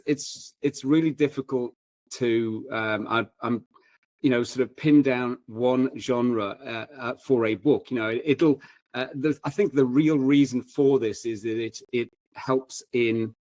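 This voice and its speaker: A man's voice: mid-range voice, dry recording. A man speaking as a guest in a casual panel discussion, with hesitant, circling phrasing.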